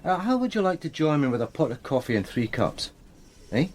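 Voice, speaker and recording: A man's voice: on the high side, big pitch swings, fairly quick, slight background noise. Polite male detective, lightish voice. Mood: courteous. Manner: courteous, casual, gently probing. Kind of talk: radio drama acting.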